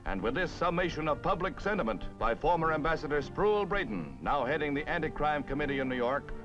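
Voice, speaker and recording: Animated 1950s American newsreel announcer, male. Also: on the high side, fairly quick. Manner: punchy, dramatic announcer delivery. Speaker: newsreel narrator. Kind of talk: 1950s newsreel scripted narration. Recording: dull vintage newsreel soundtrack with music.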